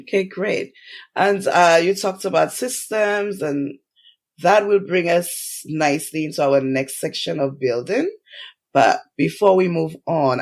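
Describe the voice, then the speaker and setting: Man, podcast host, gravelly, a bit high-pitched, polite questions. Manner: polite, guiding, scripted segues. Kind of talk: interview questions.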